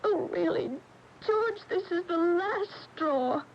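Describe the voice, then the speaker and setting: High-voiced, exasperated American wife. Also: female voice, slow, heavily acted. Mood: indignant. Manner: indignant, dismissive, dramatic. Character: exasperated, indignant wife standing up to husband. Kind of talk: 1980 American radio drama acting. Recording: dull old AM radio, faint noise.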